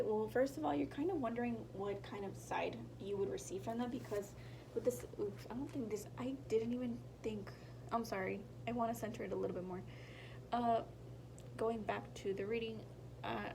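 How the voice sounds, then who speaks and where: A woman's voice: mid-range voice, moderate pace, gravelly, echoey room, distant mic, background noise. A young woman giving a casual tarot reading, sounding nasal and tired from a sinus infection, rambling and correcting herself, sometimes dropping into a whisper. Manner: rambling, self-correcting, apologetic, drops into whispers. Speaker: tarot reader.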